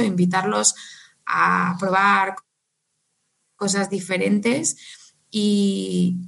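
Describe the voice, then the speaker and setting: A woman's voice: mid-range voice, vocal fry. A Mexican woman, a wine professional, talking casually as a podcast guest, laughing often and repeating words as she thinks. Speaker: guest winemaker.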